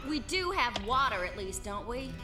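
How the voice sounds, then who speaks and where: A woman's voice: fairly high pitch, sing-song, normal speed, music in the background. A woman playing a breezy, teasing young space cadet in an English anime dub, speaking informally. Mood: exasperated.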